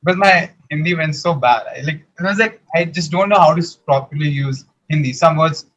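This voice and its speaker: A man's voice: fairly high pitch, a little fast, fairly bright tone, slight background noise. Earnest Indian man hosting an interview. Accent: Indian English. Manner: earnest, searching for words. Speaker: podcast host. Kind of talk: casual interview. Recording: dull lo-fi sound.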